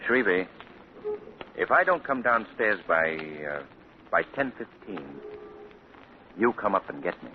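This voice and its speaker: A polished gentleman with a lowish, measured voice, guarded and a little tense. The sound is thin and tinny, with clear hiss, as on a 1940 radio broadcast. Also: big pitch swings, exaggerated voice. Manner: measured, polished, with small hesitations. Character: composed wealthy gentleman, secretive, tense.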